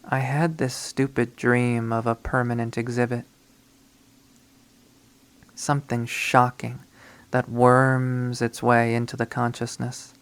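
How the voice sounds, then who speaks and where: A lovesick, obsessive young woman who has turned to killing speaks in a hushed, brooding monologue, as a man reads her lines aloud. Her pitch sits in a mid range, and she takes her time, letting each line hang slowly. This is a dramatic first-person visual novel readthrough.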